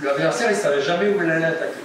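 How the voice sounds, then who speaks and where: A man's voice: a little high, racing. Man, informal tribute speaker, chatty and teasing; distant pickup, very reverberant hall, noisy. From Nice, France. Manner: joking, conversational, many fillers. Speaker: speaker at a judo ceremony. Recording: echoey hall, distant mic, audible noise.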